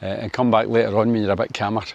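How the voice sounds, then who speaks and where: A man's voice: little pitch movement, rapid-fire, gravelly, a little mellow, muffled recording. A Scottish man in his sixties from Scotland, chatting to camera on his vlog in a wry, filler-heavy way. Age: 60s. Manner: chatty, wry, lots of fillers, lifts his pitch for sunny Spain. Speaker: vlogger. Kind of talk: casual opinion vlog to camera.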